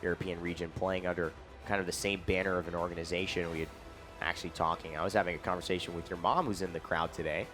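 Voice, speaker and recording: A man's voice: deep voice, little pitch movement, fast talker, over music. A man casting a live esports tournament, mixing playful banter with game analysis. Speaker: esports caster. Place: esports expo hall arena.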